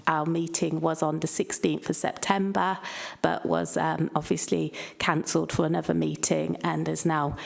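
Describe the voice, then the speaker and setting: A woman's voice: low-pitched, level tone, normal speed, gravelly. A woman chairing a council committee meeting, speaking with frequent hesitations and fillers. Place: council chamber.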